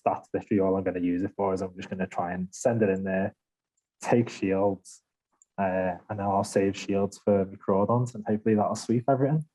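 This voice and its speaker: Mellow man, rambling gamer chat. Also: fairly low pitch, poor audio. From Liverpool, England. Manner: rambling, laughs a lot, self-deprecating. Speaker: podcast panelist. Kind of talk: casual gaming chat.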